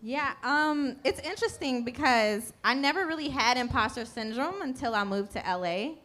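A woman's voice: high voice, animated, normal speed, a bit bright. Chatty woman from North Carolina. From Charlotte, North Carolina. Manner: casual, chatty, many fillers. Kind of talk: panel discussion.

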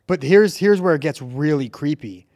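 A man hosting a casual podcast, telling stories in an animated way with lots of filler words like "like" and "dude.". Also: on the high side, moderate pace. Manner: animated storyteller, heavy use of "like" and "dude". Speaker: podcast host.

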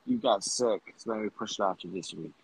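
A young man co-hosting a podcast, high and mellow, answering in short, relaxed replies. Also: fairly quick, breathy edge, rough recording. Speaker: podcast co-host. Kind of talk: casual chat.

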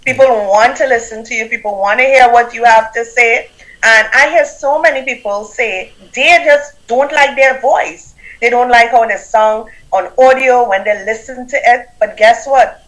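Trinidadian lady coach, punchy motivational talk, kinda high voice. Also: moderate pace, fairly bright tone, poor audio. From Trinidad and Tobago. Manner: emphatic, repeats punchy phrases. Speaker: guest, speaker and business coach.

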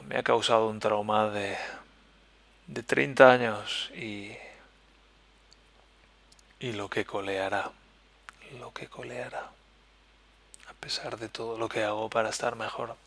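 Spanish man with a Spain accent, gravelly podcast host, soft introspective delivery, dry close sound. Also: lowish voice, fairly even intonation, no echo. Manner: soft-spoken, introspective, halting. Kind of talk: personal reflective monologue. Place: living in Germany.

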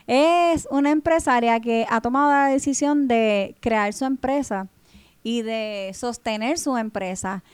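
A woman's voice: fairly high pitch, unhurried, smooth, no room sound. A woman hosting a conversational podcast interview, rephrasing as she goes. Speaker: podcast host.

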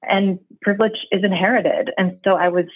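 A reflective American woman in her thirties, a guest speaking from Brooklyn roots. Her voice comes through a thin, telephone-like video call line, a little husky and very dry. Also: mid-range voice, steady tone, fairly quick, dry recording. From New York City, Brooklyn. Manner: thoughtful, reflective, laughs easily. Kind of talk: conversational interview. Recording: tinny phone-like call line.

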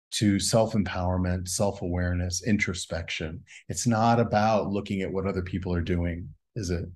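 Deep-voiced man musing softly. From United States. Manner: musing, asks a string of rhetorical questions. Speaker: guest. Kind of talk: reflective spiritual conversation.

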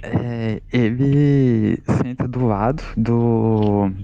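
A man at a tabletop game, speaking slowly with a deadpan delivery. His voice is dark and gravelly, with faint music behind. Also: medium pitch, highs cut off. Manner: drawn-out, deadpan, odd questions. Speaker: player. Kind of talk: in-character tabletop game chat.

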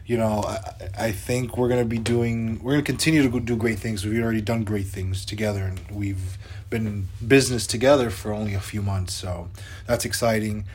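A man's voice: fairly low pitch, steady tone, fairly quick, darkish tone, slight background noise. A man in his twenties co-hosting a podcast, chatting in an upbeat, loose way with lots of fillers. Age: 20s. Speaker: podcast co-host. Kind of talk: casual podcast chat.

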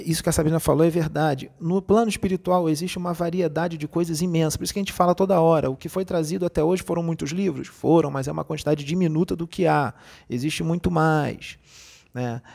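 A man's voice: on the high side, gravelly, a little mellow. A man, a spiritist medium, explaining spiritual matters in a casual talk and often checking "entendeu?".

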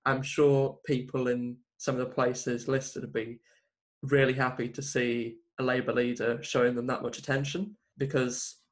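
Mid-pitched man discussing politics. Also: little pitch movement, moderate pace. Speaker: podcast panellist.

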